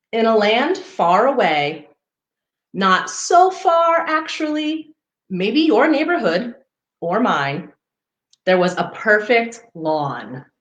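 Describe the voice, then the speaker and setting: A woman's voice: mid-range voice, dull audio. A woman hosting a children's story time, reading aloud expressively and addressing young listeners directly, in a roomy livestream recording. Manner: expressive, pausing to point out pictures to young listeners. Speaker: parks foundation outreach coordinator hosting story time. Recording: roomy, echoey livestream sound.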